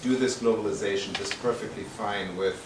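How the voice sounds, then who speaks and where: A man's voice: on the low side, steady tone, a little fast, music in the background. A man moderating a panel, asking long, informal, discursive questions. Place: college panel event room. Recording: echoey room, distant mic, clear background noise.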